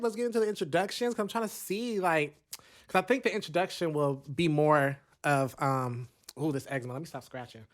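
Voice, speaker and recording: Rambling, laughing young man podcaster. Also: sits high. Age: 20s. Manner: rambling, self-deprecating, laughs often. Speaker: podcast host. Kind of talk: casual solo podcast chat. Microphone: studio mic recording into a computer.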